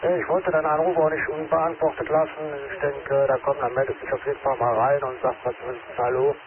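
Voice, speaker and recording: A man's voice: fairly high pitch, little pitch movement, brisk, crowd noise. A man with a northern German accent chatting casually over amateur radio from home, often tagging his sentences with "ne?". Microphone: handheld radio transceiver. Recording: narrow radio band with static and background noise.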